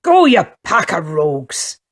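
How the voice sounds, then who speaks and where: A woman voicing a grumpy old male sailor who barks and shouts scoldings in a storybook reading. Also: on the low side, very animated, a little slow. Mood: furious barking.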